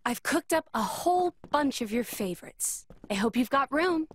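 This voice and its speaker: A woman playing a sweet, doting young woman with a gentle, solicitous manner in a game cutscene. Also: sits high, expressive, moderate pace. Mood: cheerful, caring.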